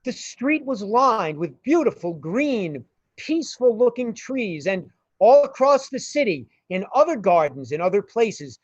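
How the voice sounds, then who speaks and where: A woman narrates a story with unhurried pacing and animated intonation. The recording is dry and slightly dull. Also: medium pitch, husky, dry recording.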